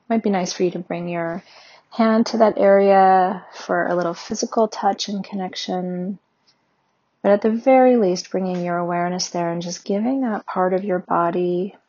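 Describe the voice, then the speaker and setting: Slow, husky-voiced woman guiding meditation. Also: on the low side, lo-fi sound. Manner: slow, soothing, gentle instructions. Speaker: meditation guide. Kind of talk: guided meditation.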